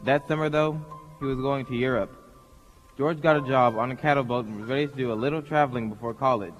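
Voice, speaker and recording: Storyteller: male actor as patient radio play narrator, medium pitch, hall reverb. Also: fast, close to an everyday voice. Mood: patient, storytelling. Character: patient, kindly narrator guiding a listener. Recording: hall reverb, faint music.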